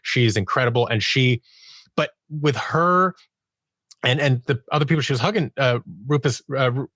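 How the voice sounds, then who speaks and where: A man's voice: medium pitch, moderate pace, a bit bright, dry recording. A man giving a conversational interview as a podcast guest, telling stories about his creative work and acting out bits of quoted dialogue. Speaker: podcast guest, audio drama producer and sound designer.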